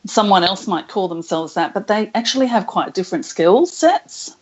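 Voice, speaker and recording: A woman being interviewed as a spiritual channeler, speaking slowly and thoughtfully with soft laughs. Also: medium pitch, lively intonation, husky. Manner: slow, thoughtful, laughs softly. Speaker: guest channeler. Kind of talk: casual interview chat. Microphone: internet call. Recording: muffled call audio.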